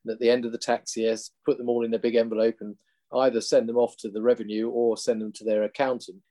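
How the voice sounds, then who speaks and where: A man with a Lincolnshire accent, an investment manager explaining his work in an interview in a measured way. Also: medium pitch, level tone, warm tone. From England. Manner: measured, explanatory, restarts at times.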